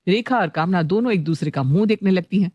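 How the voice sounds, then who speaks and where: A woman's voice: on the low side, expressive, fast talker, dry recording, lo-fi sound. A woman working as narrator, reading a scripted story.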